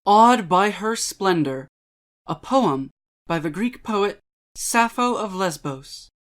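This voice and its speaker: A woman giving a dramatic reading of poetry and prose in a measured, deliberate, theatrical way. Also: medium pitch, expressive. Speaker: channel host and reader.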